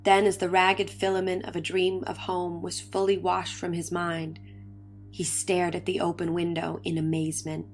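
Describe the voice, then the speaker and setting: Female narrator, lower register, dramatic literary storytelling, with soft music in the background. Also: completely flat delivery, normal speed. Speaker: story reader. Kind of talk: dramatic reading of early science fiction. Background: faint music.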